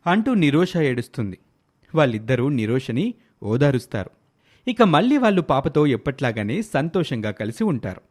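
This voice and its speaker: Male narrator for moral tales, mid-range voice, very lively intonation, scripted storytelling. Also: mid-range voice, normal speed.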